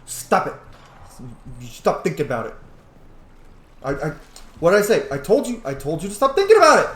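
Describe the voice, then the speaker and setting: A man's voice: high voice, huge pitch swings, a little fast, fairly bright tone, roomy sound. A man giving casual, sweary let's play commentary as a game streamer, joking and emphatic. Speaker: streamer playing a role-playing game.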